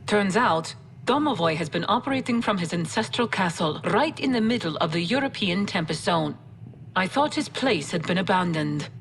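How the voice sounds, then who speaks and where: Low, gravelly faction officer. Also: female voice, animated, normal speed, slight background noise. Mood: wry, familiar. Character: loyal, composed female Dynasty officer, familiar and wry. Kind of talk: conversational video game briefing.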